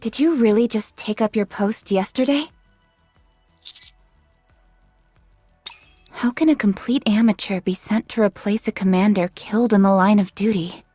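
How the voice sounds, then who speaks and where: A woman's voice: mid-range voice, a little fast, hardly any pauses, warm tone, breathy edge, faint music behind, natural voice. A woman playing a businesslike young female android squad leader in a video game, dutiful and curt, speaking formally and with composure. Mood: disbelieving. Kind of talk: English video game voice acting.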